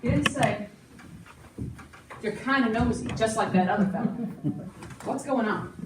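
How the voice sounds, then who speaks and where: A woman's voice: fairly high pitch, a little slow, lightly acted. A woman acting a chatty, folksy, matter-of-fact frontier shopkeeper in an amateur stage play. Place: indoor school stage. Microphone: distant audience camera. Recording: echoey noisy hall from far off.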